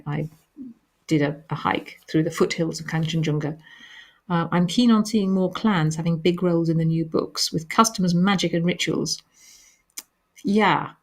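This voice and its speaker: A woman with a calm, soothing voice hosts a live question-and-answer stream. She reads each question aloud, then answers with gentle pauses and hesitations. Her voice sits a little low for a woman. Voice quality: calming voice. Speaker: author hosting a live reader Q&A.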